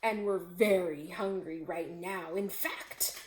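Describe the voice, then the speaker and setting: A woman's voice: lowish voice, slow pace, darkish tone, pitched below the performer's own voice. A woman voicing hungry, menacing talking tigers in a children's storybook, smug, taunting and mock-polite. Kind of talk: children's storybook read-aloud. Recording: echoey room with faint noise.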